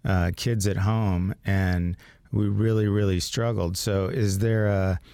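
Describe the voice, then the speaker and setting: A man hosting a radio call-in health show, his voice raspy from a sinus infection, speaking in a relaxed way and reading phone numbers slowly. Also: low voice, dry recording, clean studio sound. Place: radio studio.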